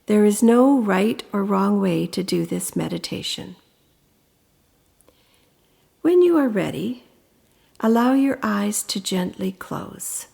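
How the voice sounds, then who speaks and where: A woman narrating a guided meditation in a gentle, soothing, instructive manner with pauses, her voice turning breathy at the ends of phrases. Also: mid-range voice, lively intonation.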